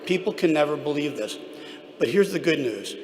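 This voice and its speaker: A man giving prepared remarks as a trade association leader at a public event, with an emphatic, deliberate delivery. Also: a little high, lively intonation, darkish tone. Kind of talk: prepared podium speech. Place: large echoing hall at a public event. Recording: echoey hall, clear background noise.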